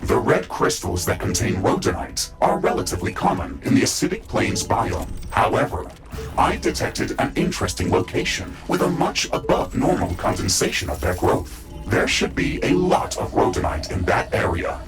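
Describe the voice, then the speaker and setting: A robotic male AI built into a game's mech suit. The processed voice is fairly low and swings noticeably in pitch. It advises in formal, measured, dutiful phrases over clearly audible music. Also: very animated, full character voice, low-quality recording. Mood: dutiful, informative. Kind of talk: scripted video game dialogue. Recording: music bed behind processed voice.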